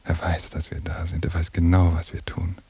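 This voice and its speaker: An actor playing a paranoid, obsessive surveillance man in a radio drama monologue, brooding in a gravelly, hushed half-whisper. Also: low-pitched, monotone, moderate pace.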